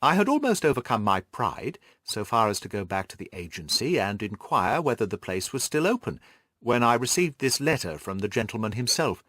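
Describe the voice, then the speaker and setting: A man's voice: medium pitch, sing-song, moderate pace, a bit bright, no echo, studio quality. A man voicing an earnest, anxious young Victorian governess who tells her story articulately in an audiobook. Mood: earnest, reflective.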